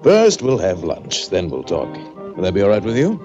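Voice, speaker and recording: A smooth, courteous middle-aged lawyer. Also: male voice, fairly low pitch, huge pitch swings, moderate pace. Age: 50s. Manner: courteous, hesitant with "uh". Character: smooth, polite middle-aged male attorney. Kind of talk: 1940s radio acting. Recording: lo-fi old radio recording with music.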